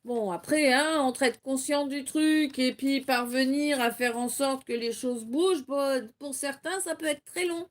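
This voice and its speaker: A woman's voice: high-pitched, unhurried, few pauses. A French woman from Normandy hosting a live card-reading stream, chatty, laughing often and reading chat messages aloud. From Normandy, France.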